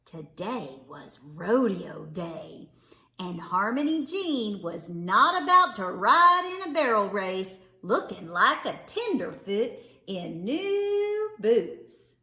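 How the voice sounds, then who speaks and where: A woman reading a children's story aloud with theatrical, deliberate phrasing. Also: mid-range voice, big pitch swings, sharp tone. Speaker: storybook reader. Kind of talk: expressive children's read-aloud narration. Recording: roomy, echoing indoor sound.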